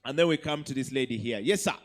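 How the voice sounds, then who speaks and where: A man's voice: medium pitch, quick pace. A man hosting a live summit as MC, speaking in an emphatic, encouraging way and often repeating himself to call for applause. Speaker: event MC. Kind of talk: lively crowd-hosting, asking for applause. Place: summit hall with audience.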